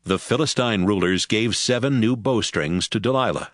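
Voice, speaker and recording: A man's voice: fairly low pitch, normal speed, no room sound, studio quality. A man giving scripted narration for a Bible audio drama.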